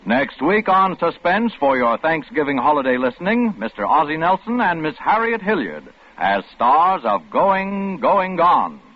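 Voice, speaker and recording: Animated man announcing old-time radio. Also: fairly high pitch, measured pace, faint music behind. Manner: dramatic, formal. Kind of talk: 1940s radio announcing, scripted. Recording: muffled, noisy old radio broadcast.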